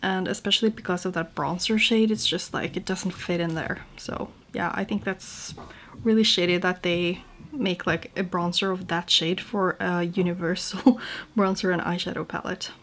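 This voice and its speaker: A woman's voice: on the low side, fairly even intonation, normal speed, fairly bright tone. Chatty young woman with a Norwegian accent. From Norway. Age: young adult. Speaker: beauty vlogger. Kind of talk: casual chat to camera. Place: small home room indoors.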